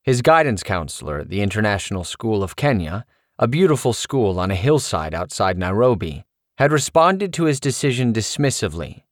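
Animated man narrating his memoir. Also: on the low side, normal speed, dry recording, studio quality. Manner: animated, expressive storytelling.

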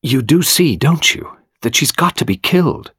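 A man's voice: fairly low pitch, animated, fairly quick, breathy edge, no echo. A male narrator plays a desperate, bitter young man in an audiobook. His speech is firm and matter-of-fact, with a nervous intensity underneath. The recording is close and dry. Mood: urgent, nervous.

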